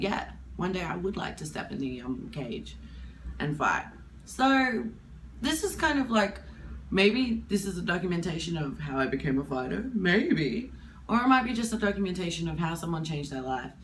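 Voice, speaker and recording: A woman in her twenties with a husky voice from hay fever, talking candidly to camera in a rambling, casual way. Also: lowish voice, fairly bright tone, slight background noise. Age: 20s. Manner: candid, rambling, with many fillers. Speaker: vlogger.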